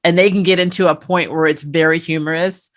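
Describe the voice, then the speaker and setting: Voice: medium pitch, steady tone, brisk. A co-host speaks in a casual review chat, sounding conversational and keen. The delivery is enthusiastic and informal, as in a discussion between friends. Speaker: pop-culture show co-host.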